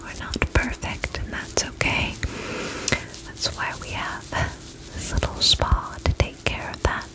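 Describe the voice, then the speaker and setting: Whispering woman as a gentle vampire spa attendant. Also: natural voice, low-quality recording. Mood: soothing, attentive. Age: young adult. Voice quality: breathy whisper. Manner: soothing, slow, reassuring, polite. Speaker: ASMR performer playing a spa attendant. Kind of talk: ASMR whispered roleplay. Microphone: very close microphone.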